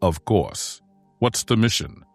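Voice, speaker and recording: A man's voice: on the low side, lively intonation, slow, gravelly, darkish tone, no room sound, faint music behind, exaggerated voice. A man playing a grandiose uncle who guards a hidden realm, speaking floridly in an audio drama. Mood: genial, courtly. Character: theatrical, gruff-then-genial uncle guarding a hidden realm. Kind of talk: audio drama character acting.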